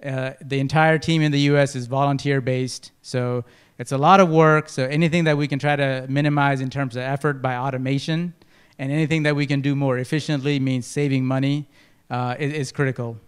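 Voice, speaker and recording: A man from Nepal pitches for a non-profit in an informal, hesitant manner. His voice is smooth and clear, at a medium pitch. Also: steady tone, fairly quick, few pauses. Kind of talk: informal spoken presentation.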